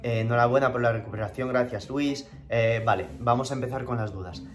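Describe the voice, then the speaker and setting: A man in his thirties with a French accent, coaching on keto diets in a live stream Q&A. He talks casually and rambles, full of "eh" and restarts, in a voice of ordinary pitch. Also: brisk. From southern France, Spanish parents.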